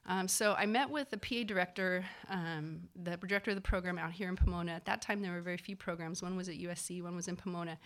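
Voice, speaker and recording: Woman rambling through her own story, bit low-pitched, acts out the quotes, lots of ums. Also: fast, few pauses. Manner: animated, acts out quoted people, many fillers. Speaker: physician assistant. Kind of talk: casual personal storytelling.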